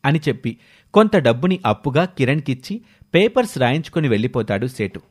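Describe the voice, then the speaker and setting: A man's voice: mid-range voice, big pitch swings, fairly quick, darkish tone. A man narrating a scripted moral story as its storyteller.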